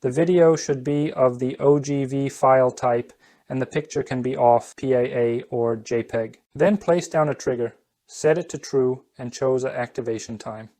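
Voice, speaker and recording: Low-voiced woman, scripted how-to narration. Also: fairly even intonation, normal speed, warm tone. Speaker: tutorial narrator.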